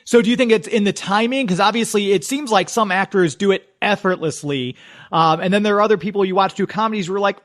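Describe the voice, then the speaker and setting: A man's voice: high-pitched, fast talker, fairly bright tone. A man hosting a radio interview in a casual, chatty style, asking long rambling, affirming questions. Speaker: radio show host, interviewer.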